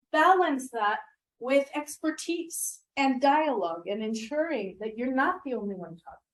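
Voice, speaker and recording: A middle-aged woman leading a teaching workshop in a classroom, engaging the group with questions and echoing their answers. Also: high voice. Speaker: workshop presenter.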